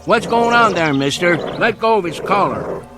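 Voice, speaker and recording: An actor playing a stubborn, plain-spoken country hunter in a vintage TV drama, with a rural Southern American accent and homespun manner. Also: male voice, sits high, expressive, moderate pace, over music. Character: stubborn, plain-spoken backwoods male coon hunter, loyal to dog. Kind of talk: vintage television drama acting.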